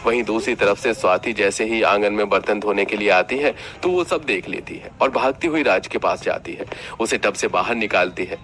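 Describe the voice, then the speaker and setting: चमकीली, दमदार आवाज़ वाला पुरुष कथावाचक. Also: male voice, lowish voice, moderate pace, hardly any pauses, a bit bright. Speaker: narrator. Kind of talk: scripted story narration.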